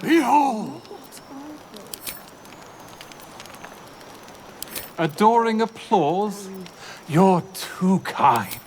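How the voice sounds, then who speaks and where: An actor playing a pompous, theatrical wizard showman in a video game, with grandiose, drawn-out delivery. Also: high voice, big pitch swings, a little mellow, long reverb tail, slight background noise, full character voice. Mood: grandly boastful.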